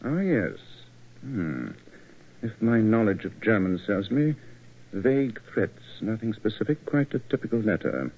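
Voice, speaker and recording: An actor playing a coolly analytical British gentleman detective, precise and self-assured, in 1940s radio style. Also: lowish voice, very animated, a little slow, a little hiss or hum. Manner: precise, clipped, deductive. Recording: dull old radio recording.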